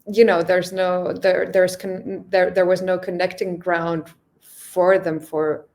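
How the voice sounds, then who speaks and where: A woman's voice: lowish voice, little pitch movement, slow pace, a little mellow. A young woman from Finland, a podcast guest, talking casually and thoughtfully with many restarts. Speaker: podcast guest, long-distance cyclist. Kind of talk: casual interview chat.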